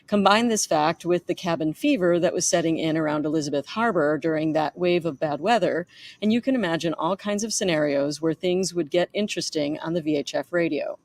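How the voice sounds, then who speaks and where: Woman, lower-pitched voice, author narration, memoir reading. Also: brisk. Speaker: author reading own book. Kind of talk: audiobook-style narration of a memoir.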